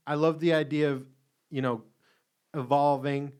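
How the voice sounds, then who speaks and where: A man co-hosting a loose podcast, talking into a headset from a room at home. His voice sits a little high, and he jokes, laughs a lot and swears casually. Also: little pitch movement, measured pace, a little mellow, clear, resonant tone. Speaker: podcast co-host. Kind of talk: casual chat. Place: home room, separate from co-host.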